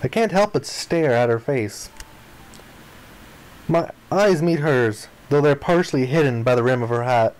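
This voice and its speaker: A man reading the narration and dialogue of a visual novel aloud in an expressive style. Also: medium pitch, unhurried, rough recording.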